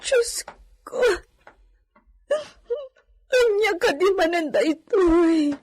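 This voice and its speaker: A woman's voice: extremely high pitch, lively intonation, very slow, a little mellow, heavily acted. A woman with an Ilocano accent playing a protective, plaintive young mother in a radio drama. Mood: tearful, pleading. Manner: pleading, plaintive. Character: tearful, protective young mother, the master's beloved.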